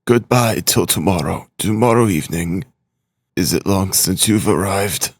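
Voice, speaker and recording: A man's voice: low voice, no echo, clean studio sound. A man acting a weak, irritable young invalid, broken-voiced. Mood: weary, broken. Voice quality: broken, strained voice. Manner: slow, effortful, curt. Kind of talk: audiobook character acting.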